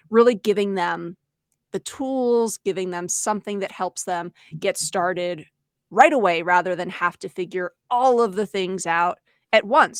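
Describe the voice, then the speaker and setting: A woman's voice: medium pitch, dry recording, studio quality. A woman co-hosting an education webinar, explaining ideas in a conversational way. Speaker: podcast co-host and education trainer.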